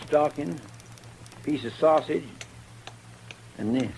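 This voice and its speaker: An actor playing a loyal, deferential frontier deputy, plain-spoken and rustic with an American accent, in a 1950s radio western. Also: male voice, fairly high pitch, slow, gravelly, a little mellow. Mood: earnest, obliging. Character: loyal, folksy, deferential marshal's deputy in the Old West. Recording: noisy, distant 1950s radio recording.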